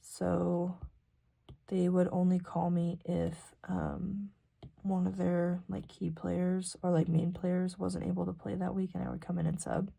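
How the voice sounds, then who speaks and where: A woman's voice: on the low side, level tone, unhurried, gravelly, dark tone. A young American woman chatting casually and ramblingly as she works on her planner, informal and self-deprecating, sometimes murmuring to herself. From rural South Dakota, US. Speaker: planner vlogger.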